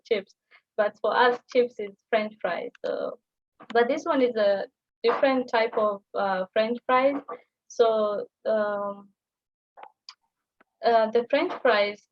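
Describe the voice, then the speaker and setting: Kenyan woman explaining over a video call. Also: fairly high pitch. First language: Somali. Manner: unhurried, explaining with many fillers. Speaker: guest language teacher. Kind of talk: presenting on a livestream call. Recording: muffled video call audio with light noise.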